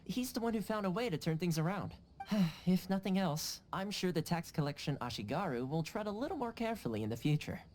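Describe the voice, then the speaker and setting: An actor playing an affable, easygoing young clan fixer in a video game, smooth-talking and teasing. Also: male voice, high voice, expressive, a little fast, darkish tone, slight background noise. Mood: breezy, joking. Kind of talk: English video game dub acting.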